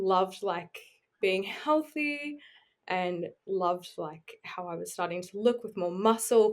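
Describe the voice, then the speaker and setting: A woman, chatting informally as a podcast guest and telling her own story. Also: medium pitch. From New Zealand, raised on Australia's Gold Coast. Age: 20s. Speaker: podcast guest, bodybuilding competitor. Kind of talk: casual chat, personal storytelling.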